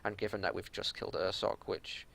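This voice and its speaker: A man with a fairly low, creaky voice talks through a gameplay review off the cuff. He sounds like a raid leader, matter-of-fact and a little exasperated as he explains. There is some background noise with a low rumble. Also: flat delivery, a little fast, fairly bright tone. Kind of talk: unscripted game analysis commentary.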